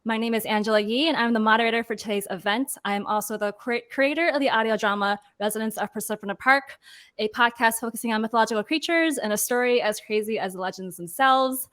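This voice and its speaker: A woman's voice: a little high, fast, fairly bright tone. A woman moderating a panel discussion, introducing guests and putting questions to them.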